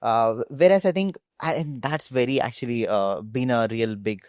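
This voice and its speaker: An Indian-accented man speaks as a founder in a casual interview. He is mid-pitched and halting, leaning on filler phrases, with a dry, roomless sound. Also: a little mellow, dry recording. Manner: halting, peppered with "like" and "you know". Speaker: startup founder being interviewed.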